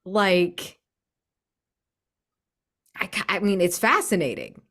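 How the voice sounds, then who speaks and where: A woman in casual tabletop game chat, thinking aloud in an animated, gushing way. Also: fairly low pitch, slow pace. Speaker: tabletop RPG player.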